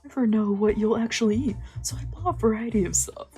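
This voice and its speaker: A woman acts out a fussy, caring visual novel friend, scolding and solicitous at once. The voice sits higher and louder, over faint music. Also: fast, natural voice. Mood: fussing.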